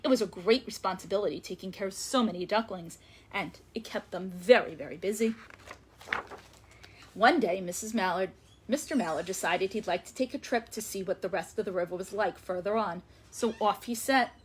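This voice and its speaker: A woman reading a story aloud to young children as a librarian, expressive and emphatic, lingering on words. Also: medium pitch, normal speed, slight background noise.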